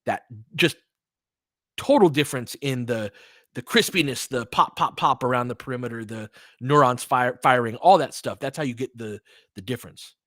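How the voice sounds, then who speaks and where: A man's voice: medium pitch, pushed and bright, no echo, studio quality. A man doing casual live basketball commentary, switching between clipped play calls and conversational analysis of the game.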